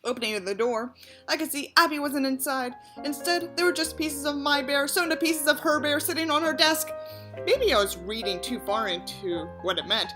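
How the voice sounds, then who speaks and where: A woman's voice: sits high, normal speed, pushed and bright, soft background music. A woman reading a story aloud as a narrator, breaking in with mocking, sarcastic asides and casual swearing. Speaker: audiobook reader and commentator.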